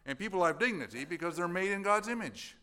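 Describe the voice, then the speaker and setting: Bright-voiced man talking to a congregation. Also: sits high, expressive, quick pace. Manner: conversational, asks the audience questions. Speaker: mission presenter. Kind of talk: church presentation.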